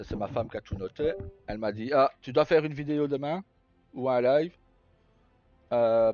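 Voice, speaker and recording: A man's voice: medium pitch, darkish tone. A man chatting casually on a livestream, sweary and colloquial, in short broken-off phrases. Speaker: live streamer. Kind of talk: casual, unscripted livestream chat to viewers. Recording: dull roomy sound with faint music. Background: faint game music.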